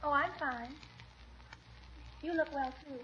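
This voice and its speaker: A woman's voice: high-pitched, slow, heavy background noise. An actress playing a coy, somewhat aloof young woman making polite small talk in a 1940s radio comedy. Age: young adult. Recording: dull 1940s radio broadcast.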